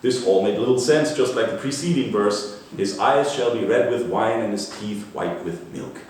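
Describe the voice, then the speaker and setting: A man reading a scripted popular history narrative aloud in a measured way, like an author presenting an excerpt, with an occasional stumble. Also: lowish voice. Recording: echoey room, distant mic, faint noise.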